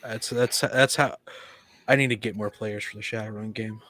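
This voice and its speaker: A man chatting casually in a group, halting and often repeating his words. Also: on the low side, fairly even intonation, a bit bright. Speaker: podcast participant.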